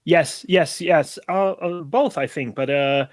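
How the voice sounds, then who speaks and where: A man speaking as a podcast guest in casual conversation, halting and hedging as he goes. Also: on the high side, no echo, studio quality. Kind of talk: casual interview chat.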